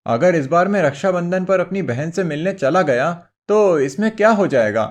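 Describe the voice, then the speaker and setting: A man's voice: high voice, animated, moderate pace. A man voicing a dutiful, affectionate younger brother in a cartoon story, earnest and placating. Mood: firm, earnest. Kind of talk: Hindi cartoon moral story acting.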